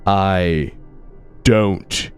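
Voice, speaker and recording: A man reading the lines of a young cat-person game hero in a deep, soft, hesitant voice. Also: very slow, clean tone, faint music behind.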